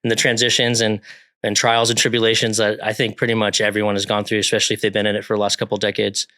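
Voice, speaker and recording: A man's voice: fairly low pitch, completely flat delivery, fast, pushed and bright, dry recording. A man in his forties from Northern California, a podcast guest talking casually in a rambling way, with lots of fillers and restarts. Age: 40s.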